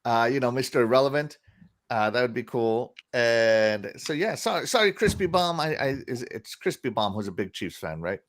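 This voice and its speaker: A man hosting a casual gaming podcast, upbeat and quick to laugh, with lots of fillers as he reads out the chat. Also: medium pitch, expressive. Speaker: podcast host reading chat.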